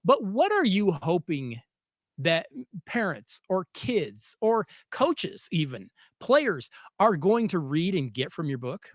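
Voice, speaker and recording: Higher-voiced man hosting an interview. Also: wildly swooping pitch, slow, no room sound, clean studio sound. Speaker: podcast host interviewing a guest. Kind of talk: conversational interview.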